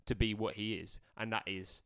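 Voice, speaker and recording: A man's voice: fairly low pitch, little pitch movement, normal speed, a bit bright, clear, resonant tone, dry recording, studio quality. A man co-hosting a casual football podcast, talking in a chatty, rambling way. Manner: chatty, rambling, lots of fillers and restarts. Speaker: podcast co-host.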